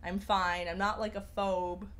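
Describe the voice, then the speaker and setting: A woman's voice: medium pitch, level tone, unhurried, fairly bright tone. A young woman hosting a casual livestream, rambling through viewer comments with lots of fillers and restarts. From Persian heritage. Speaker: livestream host.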